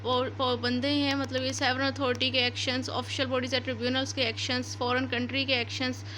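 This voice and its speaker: A woman's voice: sits high, flat delivery, a little fast, a bit bright, a little hiss or hum. A woman with a Pakistani accent teaching as a lecturer, explaining in a didactic way and restating her points, mixing in English terms. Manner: didactic, repeats and rephrases points. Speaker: law lecturer.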